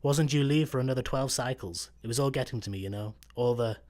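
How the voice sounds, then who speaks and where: A man's voice: medium pitch, fast, darkish tone, close to an everyday voice. An actor with a Scottish accent playing a cranky but easygoing stowaway space postman in a sci-fi comedy radio play. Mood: laid-back, evasive.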